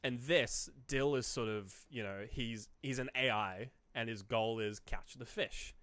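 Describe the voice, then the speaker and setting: A man co-hosting a podcast, chatting casually about films in a rambling, halting way, often quoting imagined reactions. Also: mid-range voice, fairly bright tone, dry recording. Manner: rambling, halting explanations full of hedges and self-quotes. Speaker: podcast co-host. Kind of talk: casual film discussion.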